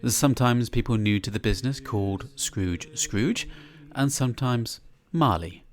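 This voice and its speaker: A man's voice: mid-range voice, a little slow. A man giving a live dramatic reading of a classic tale in a church, theatrical and savouring each descriptive word. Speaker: reader.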